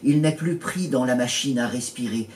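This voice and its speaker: A man reading his poetry in a literary style, measured and pausing between short phrases. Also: medium pitch. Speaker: poet reading own poems. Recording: roomy echo, distant mic, faint noise.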